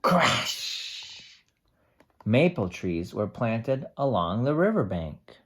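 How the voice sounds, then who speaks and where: A teacher reading a picture book aloud to young children, explaining things simply with expressive asides. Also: mid-range voice, drawn-out words, slightly rough.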